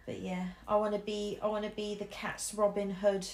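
A woman's voice: medium pitch, little pitch movement, moderate pace, darkish tone, reverberant. A young woman from Shropshire with a mixed English Midlands accent, chatting casually with many ums while she draws at home. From Shropshire, England. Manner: chatty, many ums and restarts, laughs easily. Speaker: artist streamer. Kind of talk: casual chat while drawing. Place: living room at home, indoors. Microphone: camera over the shoulder.

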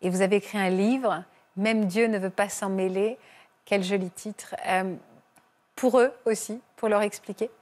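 A woman hosting a TV talk show on a studio set, gently asking questions and thanking guests in a measured way, on a lapel mic. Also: medium pitch, fairly even intonation.

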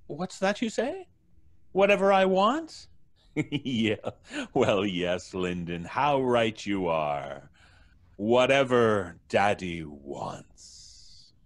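A man playing a gloating, mock-tender, unhinged killer in an audio horror drama, with slow, savouring delivery. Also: mid-range voice.

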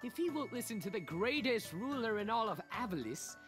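A woman's voice: a little high, very animated, fairly quick. A woman playing a pompous, sarcastic little mayor in a cartoonish video game. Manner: theatrical, mocking. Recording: game audio with loud music.

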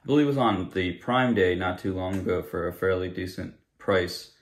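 A man's voice: low-pitched, moderate pace. A young adult man giving a casual, unscripted product review in a plain-spoken, explanatory way, recorded indoors in a living room.

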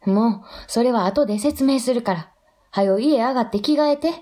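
An actress playing a brisk, teasing woman of a household, with a Kansai accent, in a radio drama. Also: on the high side, mellow, natural voice.

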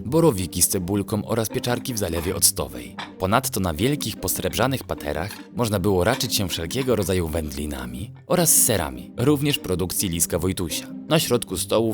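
A man reading a scripted children's bedtime story as its narrator. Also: low voice. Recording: music under the voice. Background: music.

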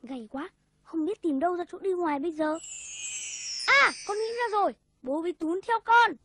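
A woman's voice: extremely high pitch, moderate pace. A woman voicing a clever, helpful young boy in a cartoon, polite and eager. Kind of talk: cartoon voice acting. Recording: music behind the voice.

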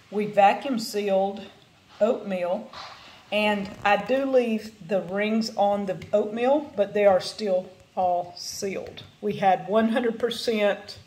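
A woman co-hosting a homestead vlog, calmly showing jars in a matter-of-fact way. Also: mid-range voice. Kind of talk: casual product demonstration. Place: home dining room, indoors.

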